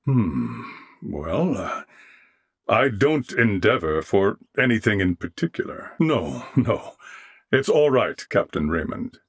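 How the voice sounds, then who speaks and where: Courteous video game swordsman, calm, formal diction, deep voice with wide swings, dry close sound. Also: husky, dry recording, exaggerated voice. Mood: calm, humble. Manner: formal, archaic, measured diction. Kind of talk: scripted video game character dialogue.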